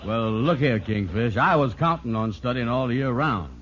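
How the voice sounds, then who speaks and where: An actor playing a slow-witted, gullible, blustering man in an old radio sitcom, with blunt, ungrammatical folksy talk. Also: mid-range voice, expressive, fairly bright tone, lightly acted. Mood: doubtful but gullible. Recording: noisy, muffled vintage radio recording.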